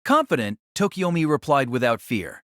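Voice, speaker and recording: A man's voice: mid-range voice, sing-song, normal speed, fairly bright tone, no echo, clean studio sound. A man reading scripted dramatic story narration as the narrator of an audio drama.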